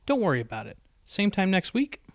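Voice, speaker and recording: A man's voice: high-pitched, huge pitch swings, brisk, creaky, no room sound, pitched above the performer's own voice, heavily acted. A man voicing a bookish young female unicorn pony in an audiobook, with a precise, lecturing and easily exasperated manner. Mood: calm, friendly. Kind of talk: acted audiobook dialogue.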